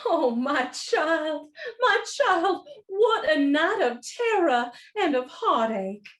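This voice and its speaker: A woman's voice: high-pitched, big pitch swings, slow, exaggerated voice. A woman playing a doting, fretful mother in a radio play, melodramatic and emotional. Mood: anguished.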